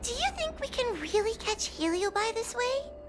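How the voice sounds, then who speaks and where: A woman's voice: very high-pitched, very animated, moderate pace, music in the background. A woman voicing a timid, anxious young fox girl in a video game, hesitant and nervous. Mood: wistful, anxious.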